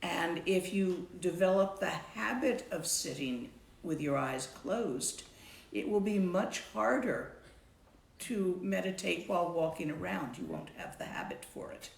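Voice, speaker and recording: A woman teaching meditation in a slow, measured voice. Her words carry a clear room echo around them. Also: lowish voice, lively intonation. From Latvian background. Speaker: Dzogchen teacher. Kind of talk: answering a student's question.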